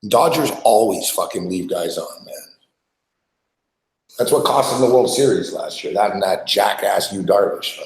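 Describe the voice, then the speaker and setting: A man chats loosely on a live sports betting show, loud and full of swearing as he rambles between topics. His voice sits a little low. The room around him is echoey. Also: expressive, moderate pace, gravelly. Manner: loud, profane, rambling banter. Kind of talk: casual live-stream chat while eating. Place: small studio.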